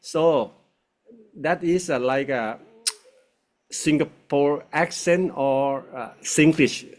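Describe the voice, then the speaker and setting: A man with a Thai accent, hosting a casual English conversation and speaking haltingly with many fillers. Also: on the high side. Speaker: host.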